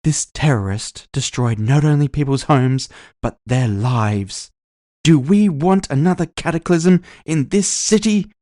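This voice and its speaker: An ancient, imperious elf woman, acted by a man, rallies her followers in an audio drama. The voice is lifted high and projected loudly. Each phrase is declaimed with grand, drawn-out passion. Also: animated, dry recording, clean studio sound. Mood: impassioned. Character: centuries-old she-elf politician, fiery, grandiose rally orator. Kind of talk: political rally speech in audio fiction.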